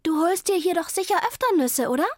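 A woman voicing a clever, eager female cat in a children's story, speaking briskly and decisively. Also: very high voice, animated, no echo, clean studio sound, voice pushed up.

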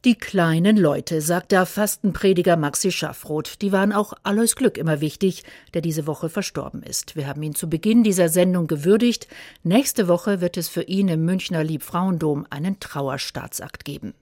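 A woman anchoring a regional news show from a studio. Her voice sits on the low side and the sound is dry and close. She reads her script in a measured, serious way. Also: darkish tone, no room sound. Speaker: news magazine host.

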